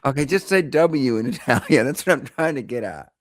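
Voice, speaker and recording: A man's voice: mid-range voice, animated, a little fast, dry recording, studio quality. A man who is a podcast guest, explaining words carefully and correcting in a good-humored way. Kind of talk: casual conversation.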